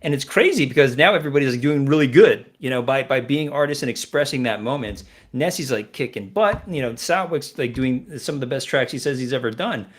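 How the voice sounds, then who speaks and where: A man's voice: medium pitch, expressive, a little fast, few pauses. A man hosting a music discovery radio show, talking casually in a rambling, playful way with many fillers. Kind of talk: casual chat.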